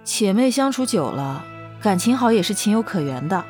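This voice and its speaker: A woman's voice: mid-range voice, very animated, normal speed, darkish tone, soft background music, lightly acted. A woman acting a naive, curious young female doctor who dresses as a man, in a Chinese costume audio drama. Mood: subdued, thoughtful. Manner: wide-eyed, hesitant when startled.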